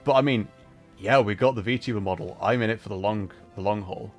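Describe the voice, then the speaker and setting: Low-pitched man chatting on a gaming livestream. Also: fairly quick, soft background music. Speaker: livestreamer and host. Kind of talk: casual livestream chat over gameplay, reading out and answering chat.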